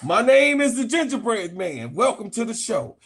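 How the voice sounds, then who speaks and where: Husky high-voiced man ranting. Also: sing-song, normal speed. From Chicago, USA. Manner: profane, repetitive, argumentative. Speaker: sports channel host. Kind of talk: heated casual sports rant.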